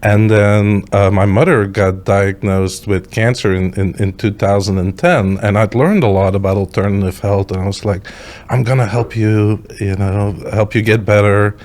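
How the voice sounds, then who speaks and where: A middle-aged Belgian man with a fairly low voice and a Belgian accent. He tells personal stories as a podcast guest in a conversational way, voicing past thoughts and tossing out rhetorical questions as he goes. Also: fairly even intonation, moderate pace, darkish tone.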